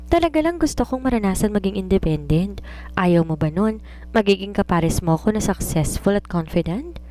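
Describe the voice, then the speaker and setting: A woman's voice: medium pitch, expressive, normal speed, dark tone, slight background noise, natural voice. Woman acting confident young daughter, storybook, teasing, playful, independent, Tagalog-English mix. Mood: defensive. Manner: Taglish, playful, reasoning.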